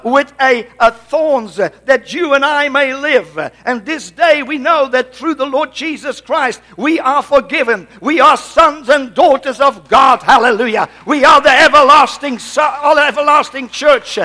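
A man's voice: extremely high pitch, bright, forceful tone. A man from the Netherlands with a Dutch accent, preaching a Pentecostal-style sermon in an exclamatory way, often hesitating and repeating words. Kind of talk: Pentecostal-style sermon with congregational call-outs.

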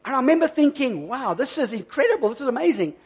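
A man preaching a sermon, emphatic and repetitive, slowing down on key phrases, a bit hoarse from flu. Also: very high voice, very animated. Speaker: pastor.

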